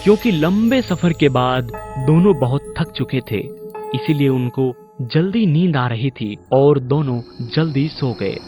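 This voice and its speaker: Male storyteller, scripted horror narration, slow and measured, music bed underneath. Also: mid-range voice, animated, a little mellow.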